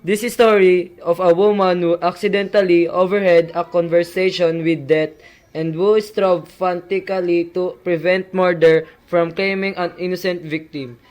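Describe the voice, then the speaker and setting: A man's voice: high-pitched, darkish tone, low-quality recording. A young man playing a mysterious host, reading scripted narration for an amateur suspense radio play. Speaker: announcer.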